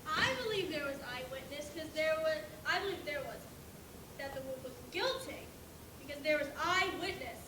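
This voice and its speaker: A woman's voice: sits high, moderate pace, natural voice. A female amateur actor playing an exasperated, self-certain pig juror on stage, speaking emphatically. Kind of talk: amateur school stage play. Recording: echoey noisy room, distant mic.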